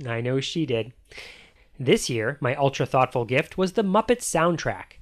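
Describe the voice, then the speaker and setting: Animated American man hosting a podcast. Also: mid-range voice, measured pace, over loud music. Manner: playful, punning delivery. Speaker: podcast host. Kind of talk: scripted podcast introduction.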